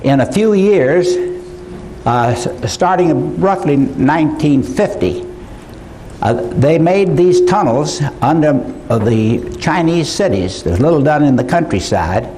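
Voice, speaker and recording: An older man giving a conference lecture, halting, with many fillers and restarts. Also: a little high, lively intonation, mellow, slight background noise, poor audio. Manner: slow, halting delivery with frequent fillers. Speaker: conference speaker. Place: conference hall.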